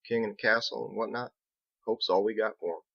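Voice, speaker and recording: A man's voice: lowish voice, fairly even intonation, a little fast, gravelly, dry recording, highs cut off. A man voicing a folksy, plain-spoken mason in an audiobook, drawling rustically with dropped words. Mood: candid, folksy. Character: Biggs, folksy plain-spoken male mason, friendly and shrugging. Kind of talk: audiobook character dialogue.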